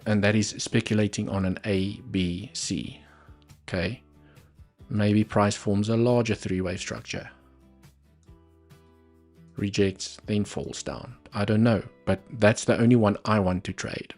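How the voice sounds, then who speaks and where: A man's voice: on the low side, fairly even intonation, measured pace, a little mellow, soft background music. A man with a South African accent and Afrikaans as his first language, hosting a live trading stream and thinking aloud over the charts with muttered asides. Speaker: livestream trading host. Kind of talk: live market analysis commentary.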